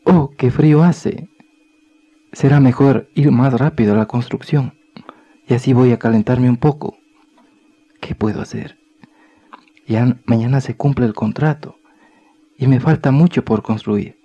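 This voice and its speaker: A man's voice: mid-range voice, unhurried, pauses often, breathy edge. A man playing a frightened young builder. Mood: anxious. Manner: anxious, pleading, hushed. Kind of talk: radio drama acting. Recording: dull lo-fi recording with faint music.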